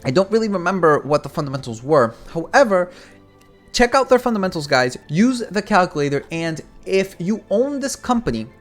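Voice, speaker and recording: Animated young man from Cuba. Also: high voice, fairly quick, soft background music. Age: 20s. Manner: rambling, animated, addresses viewers as guys. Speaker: finance YouTuber. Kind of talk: casual market commentary.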